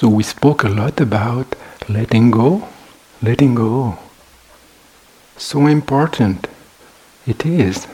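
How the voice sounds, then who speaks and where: A male meditation teacher gives a guided talk in a husky voice at a middling pitch. He speaks very slowly, in short, measured phrases, leaving space between them. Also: a little mellow, rough recording.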